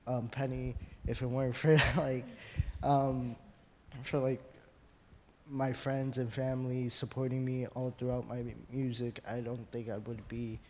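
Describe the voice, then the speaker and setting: Flat-voiced young man musician, hesitant. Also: medium pitch, a little slow, a little hiss or hum. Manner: hesitant, many fillers. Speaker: student musician panelist. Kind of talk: live panel discussion. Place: large hall. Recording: long hall reverb from a live panel.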